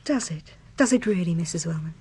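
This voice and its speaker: Soft-toned, husky young English companion. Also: female voice, fairly low pitch, lively intonation, a little fast. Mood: concerned. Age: young adult. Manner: gentle, deferential, earnest. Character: dutiful, grateful young woman, companion to an invalid. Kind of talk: radio drama acting.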